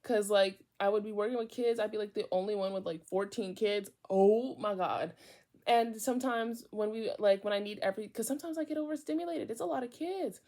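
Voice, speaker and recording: Chatty young woman reading aloud. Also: brisk. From Virginia, USA. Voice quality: high voice, often loud. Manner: chatty, digressive, mixes reading with asides. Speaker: book reader. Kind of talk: casual read-aloud with tangents.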